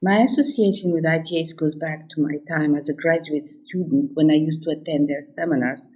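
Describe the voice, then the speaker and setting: A woman's voice: low-pitched, dark tone, husky, low-quality recording. A woman academic reading a prepared first-person narration. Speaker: academic researcher.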